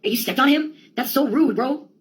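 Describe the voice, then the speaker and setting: A woman's voice: sits high, a little fast, a little hiss or hum. A woman telling a rambling comic monologue in a theatrical, slangy, sweary way. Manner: sweary, theatrical, slangy delivery with quoted outbursts.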